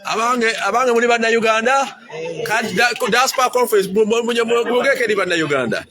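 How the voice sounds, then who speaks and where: A man's voice: extremely high pitch, fast. A man interviewing people at a busy conference, eagerly repeating their answers. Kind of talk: casual street interview. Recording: loud crowd chatter behind.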